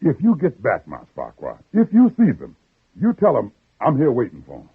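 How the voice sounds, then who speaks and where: Husky, folksy Southern backwoods man. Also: medium pitch, wildly swooping pitch, brisk, full character voice. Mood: wistful, folksy. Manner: drawling, folksy, chuckling. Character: folksy freed man in backwoods shack, slyly affable uncle. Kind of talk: old-time radio drama acting. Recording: narrow, muffled old radio recording.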